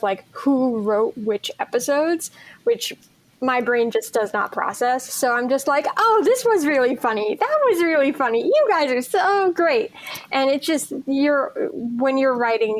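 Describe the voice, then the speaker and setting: A woman's voice: a little high, low-quality recording. A woman speaking informally as a cast member in a group podcast interview. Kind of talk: casual panel chat.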